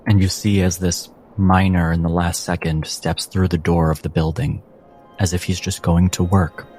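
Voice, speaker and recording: A man narrating as game master in a dramatic, measured, suspenseful style with long pauses. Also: low-pitched, flat delivery, darkish tone. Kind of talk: dramatic second-person tabletop narration. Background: background music.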